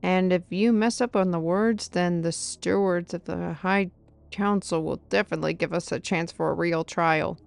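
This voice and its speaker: A woman's voice: fairly low pitch, fairly even intonation, moderate pace, creaky, clear, resonant tone. A woman playing a knowledgeable fantasy companion, explaining lore in an earnest, wordy way in an audio roleplay. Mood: instructive.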